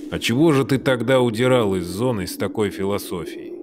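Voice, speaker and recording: A man voicing a gruff, sceptical soldier with a sardonic, questioning manner in an animated web series. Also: on the low side, a little fast, noisy background, natural voice.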